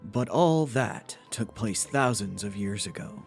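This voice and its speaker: A man playing a solemn storyteller, reading scripted fantasy narration for a fan dub in a measured, dramatic manner. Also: on the low side, animated, gravelly, soft background music. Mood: grave.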